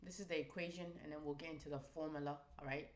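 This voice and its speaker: A woman with a notably low voice leads a live trading session. She speaks emphatically, stressing her key points and often tacking on a quick "right?" to keep viewers with her. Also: fairly even intonation, echoey room. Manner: emphatic, ends phrases with "right?". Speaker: trading stream host.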